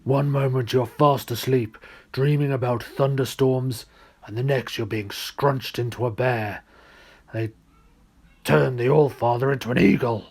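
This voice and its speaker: A man voicing a giant bear god, gruff, indignant and grave, with a throaty growl, in a storybook reading. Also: mid-range voice, steady tone, measured pace, darkish tone.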